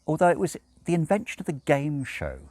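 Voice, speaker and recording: A man reading a novel aloud as expressive narration, with measured, dramatic phrasing and deliberate pauses. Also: on the high side, no room sound. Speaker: audiobook reader.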